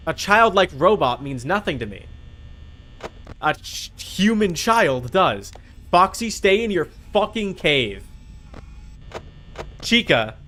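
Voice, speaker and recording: A man's voice: high voice, bright, forceful tone, slight background noise. A man chatting casually as he streams a game, with a blunt, sweary, argumentative way of talking. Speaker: livestream host. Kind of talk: casual gaming stream chat while playing a horror game.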